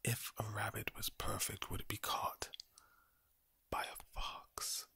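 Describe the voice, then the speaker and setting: Whispering man, low, gravelly and husky. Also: level tone, sharp tone, no echo. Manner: slow deliberate phrasing with repetition and rhetorical questions; villain-like, ominous sound. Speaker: ASMR video creator. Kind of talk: ASMR, soothing pep talk. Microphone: close microphone.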